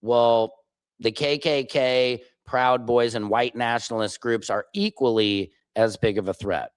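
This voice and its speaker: A man's voice: mid-range voice, fairly even intonation, measured pace, clear, resonant tone, dry recording. A man hosting a political livestream, talking back heatedly to news clips and reading chat comments aloud from his home laptop setup. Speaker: livestream host.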